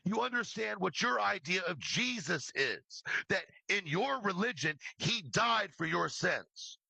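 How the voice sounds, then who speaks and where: A man's voice: high-pitched, very animated, unhurried, gravelly, pushed and bright, slightly rough, no echo, poor audio. A man who creates true crime livestreams, delivering an impassioned, scolding rant with free swearing and emphatic repeated phrases. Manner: swears freely. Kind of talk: impassioned rant addressed to an absent person.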